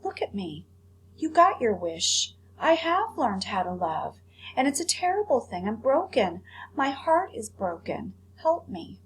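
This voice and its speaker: A woman's voice: fairly high pitch, big pitch swings, a little slow, voice pushed up, poor audio. Woman voicing a heartbroken, pleading china toy rabbit. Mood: anguished pleading. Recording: faint background hum.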